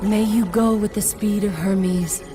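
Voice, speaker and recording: A stately goddess, acted by a woman, speaks slowly and formally at a medium pitch. Her solemn, measured game-drama delivery urges a warrior onward, with music clearly heard behind her. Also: fairly even intonation, lightly acted. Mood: grave, pleading. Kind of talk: dramatic video game acting.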